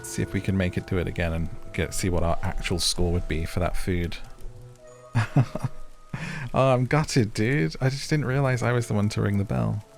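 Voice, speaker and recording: A man's voice: on the low side, moderate pace, gravelly. Playful British man chatting over game music. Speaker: gaming video host. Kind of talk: casual gameplay commentary. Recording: music under the voice.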